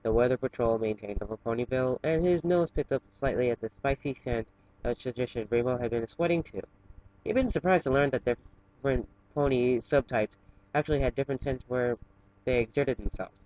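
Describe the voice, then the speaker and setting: A man's voice: mid-range voice, a little fast, a little mellow. A man reading a story aloud as an amateur, informally, sometimes stumbling over words. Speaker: fan fiction reader for an online channel. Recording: dull, lo-fi home recording.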